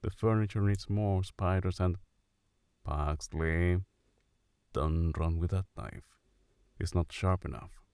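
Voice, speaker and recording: A man playing a macabre, doting gothic family patriarch in an ASMR roleplay, deep and gravelly, with an unhurried deadpan delivery. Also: no echo, exaggerated voice. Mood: deadpan, busy.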